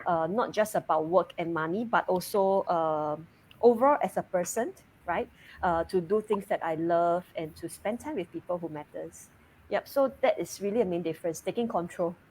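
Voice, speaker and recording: A woman in her thirties from Singapore with a Singaporean English accent, a guest chatting openly in an interview over a video call, often breaking into soft laughs mid-sentence. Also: on the low side, moderate pace, a little hiss or hum, rough recording. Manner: laughs lightly mid-sentence, relaxed and open. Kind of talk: casual interview chat.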